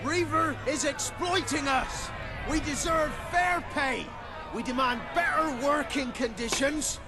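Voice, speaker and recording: A man playing a defiant, indignant worker in a video game, shouting rallying cries. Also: extremely high pitch, unhurried, over loud music, over a noisy crowd. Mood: angry defiance. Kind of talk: video game cutscene acting.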